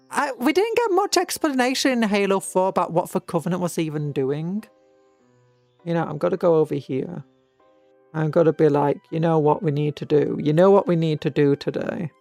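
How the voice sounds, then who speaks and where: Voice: mid-range voice, darkish tone, no echo. A British livestream host chatting casually in a rambling, hesitant way, often trailing off and restarting. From Britain. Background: music.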